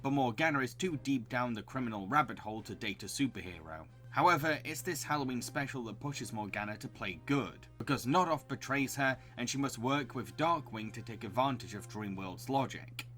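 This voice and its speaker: Male video host, very bright voice, scripted review narration, enthusiastic and recommending. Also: mid-range voice, lively intonation, fairly quick, few pauses, faint music behind.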